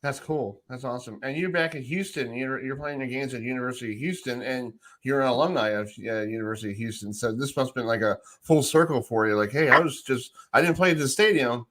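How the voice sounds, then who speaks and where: A man's voice: mid-range voice, fast, hardly any pauses, fairly bright tone. A middle-aged man hosting a sports radio show, asking informal, rambling questions in a casual chat.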